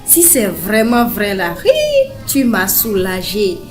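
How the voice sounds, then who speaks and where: Casting: actress as proud, grasping middle-aged cartoon mother, medium pitch, full of exclamations, light music behind. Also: huge pitch swings, slow pace. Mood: incredulous, relieved. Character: money-hungry, proud mother of the bride.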